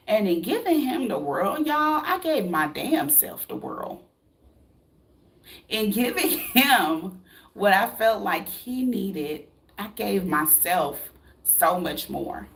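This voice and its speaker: A woman's voice: medium pitch, lively intonation, takes it slowly, gravelly, fairly bright tone. A woman in her late thirties, from the United States, giving a blunt, preachy motivational talk to camera, full of rhetorical questions and casual swearing. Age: 30s. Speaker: vlogger.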